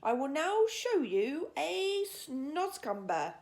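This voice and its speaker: A woman's voice: sits high, sing-song, slow pace, darkish tone, voice pushed up, exaggerated voice. A woman voicing a big, friendly old male giant from a children's storybook, speaking emphatically in mangled grammar with invented words. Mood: emphatic. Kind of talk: children's storybook character reading.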